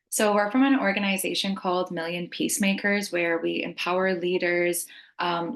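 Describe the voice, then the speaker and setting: A young woman from Lebanon co-hosting a webinar over a video call, welcoming people and explaining the plan with a few filler ums. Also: lowish voice. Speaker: webinar co-host. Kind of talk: friendly webinar presentation. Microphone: video call laptop mic.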